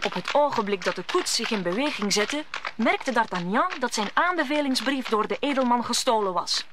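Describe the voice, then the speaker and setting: A woman's voice: fairly high pitch, expressive, moderate pace, background noise. A woman narrating a scripted radio play as a storyteller.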